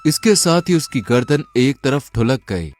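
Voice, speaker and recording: A man's voice: mid-range voice, very animated, measured pace, darkish tone, soft background music. A man narrating a scripted folk tale as a storyteller.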